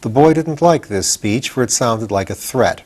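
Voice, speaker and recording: A man's voice: medium pitch, lively intonation, moderate pace, a little mellow. Older man reading a storybook. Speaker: audiobook reader. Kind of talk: expressive storybook narration.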